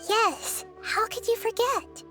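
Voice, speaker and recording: A woman playing a small, childlike goddess of wisdom in a video game, gentle, articulate and thoughtful. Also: extremely high pitch, slow, music in the background. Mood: puzzled, apologetic. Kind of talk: scripted video game dialogue.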